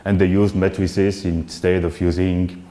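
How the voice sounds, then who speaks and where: Man giving a relaxed talk. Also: low voice, measured pace. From Belgium. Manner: relaxed, self-deprecating, with frequent restarts. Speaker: keynote speaker. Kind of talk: live talk at a synth meetup. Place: event venue before an audience.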